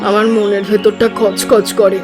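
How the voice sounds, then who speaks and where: A woman voicing a caring, anxious elderly village mother in a cartoon, with a soft, fretful way of talking. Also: medium pitch, flat delivery, moderate pace, music in the background, natural voice. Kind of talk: cartoon voice acting.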